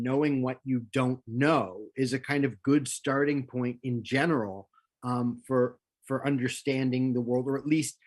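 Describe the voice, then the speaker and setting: A man co-hosting a discussion, thinking aloud in academic terms with frequent ums and restarts. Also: mid-range voice. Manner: hesitant, many ums and restarts, academic wording. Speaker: philosopher co-host. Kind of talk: casual discussion.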